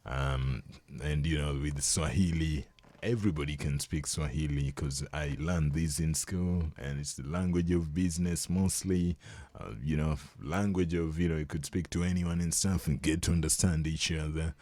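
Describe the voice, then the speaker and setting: A young Kenyan man whose first language is Luo, explaining things in a relaxed, conversational way during an interview and chuckling often. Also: rumbling low, moderate pace, no room sound. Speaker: guest.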